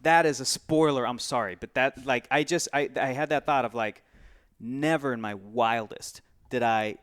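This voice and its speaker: Gushing man with a clear, smooth voice. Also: medium pitch, moderate pace, fairly bright tone. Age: 30s. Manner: gushing, emphatic, repeats phrases for emphasis. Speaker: film review show co-host. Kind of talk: casual unscripted movie review chat.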